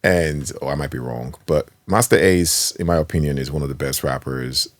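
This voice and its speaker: A man hosting a casual interview podcast, talking with enthusiasm, quick to laugh and swinging between loud and soft. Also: a bass voice, no echo, studio quality. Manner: animated, laughs easily, enthusiastic. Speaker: podcast host.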